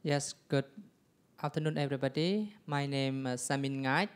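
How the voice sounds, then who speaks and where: A man from Cambodia, introducing himself on a panel quickly with pauses. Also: medium pitch, clean tone. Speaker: youth association worker.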